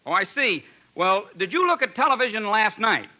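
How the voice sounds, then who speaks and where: A man's voice: high-pitched, very animated, moderate pace, pushed and bright, exaggerated voice, poor audio. An actor playing a polite, nosy man making survey calls in an old-time radio comedy. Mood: polite, inquiring. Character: polite, nosy, oddball male telephone survey caller.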